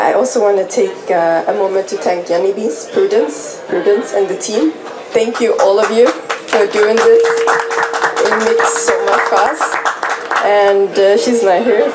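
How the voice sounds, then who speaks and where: A woman filmmaker moderating a festival panel, talking in a halting way with simple phrasing. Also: mid-range voice, poor audio. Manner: halting, non-native phrasing.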